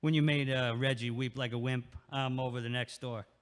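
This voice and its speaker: An actor playing a crude, taunting neighbor who blusters and leers in an audio play. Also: male voice, medium pitch, steady tone, fairly quick, in a large hall, lightly acted. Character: crude, leering middle-aged male neighbor.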